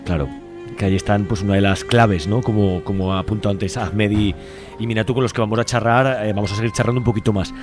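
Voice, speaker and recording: A man's voice: fairly low pitch, fairly even intonation, fast talker, over music. A man hosting a radio interview, asking long conversational questions. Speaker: radio host.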